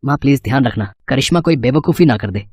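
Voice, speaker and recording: A man voicing an irritable, ambitious young husband with a brisk, exasperated manner in a cartoon story. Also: mid-range voice, animated, darkish tone, no echo, clean studio sound, natural voice. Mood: brisk, earnest. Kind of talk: Hindi moral story cartoon acting.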